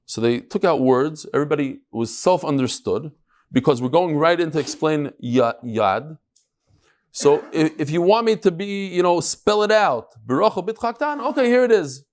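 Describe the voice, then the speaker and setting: Guy teaching talmud class, kinda high voice, very up and down melody, emphatic, chatty, throws questions out. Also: expressive, moderate pace, darkish tone. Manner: conversational, emphatic, peppered with rhetorical questions and Hebrew terms. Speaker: rabbi giving a daily Talmud class. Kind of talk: informal Talmud lecture to a live class.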